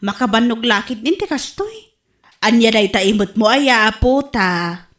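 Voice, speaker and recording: A woman performs a weary, grumbling character in a radio comedy drama. Her voice sits a little high and carries an Ilocano accent. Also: lively intonation, unhurried, a bit bright. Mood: complaining.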